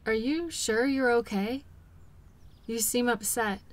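A woman playing a caring, patient girlfriend in an intimate role-play audio, talking gently and soothingly to her partner. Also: fairly high pitch, takes it slowly. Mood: reassuring, light. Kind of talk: intimate one-sided role-play acting.

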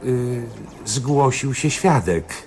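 A man playing a flustered, deferential, slow-witted police constable in a radio drama, hesitant and apologetic. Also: mid-range voice, animated, darkish tone, slight background noise.

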